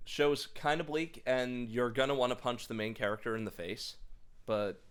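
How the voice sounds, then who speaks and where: Smooth, clear-voiced laid-back man. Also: medium pitch, steady tone, normal speed. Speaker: podcast co-host. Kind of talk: casual pop culture chat.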